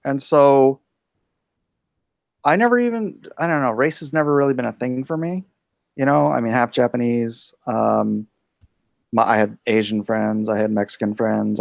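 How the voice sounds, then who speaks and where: A man's voice: lowish voice, creaky, no room sound, studio quality. A man in his forties, born in Hawaii and raised in California, talking casually in a blunt, opinionated way. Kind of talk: casual chat.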